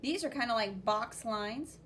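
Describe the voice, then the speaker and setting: Woman, high voice, unhurried storytime teacher delivery, expressive with spoken sound effects, noisy recording. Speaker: art teacher reading a picture book aloud. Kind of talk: animated children's read-aloud with commentary.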